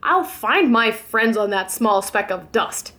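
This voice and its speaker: A big, kind-hearted elephant speaks earnestly and a little worried, as a woman reading aloud plays him. The voice stays fairly high and soft-edged, loyal and pleading. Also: expressive, slow.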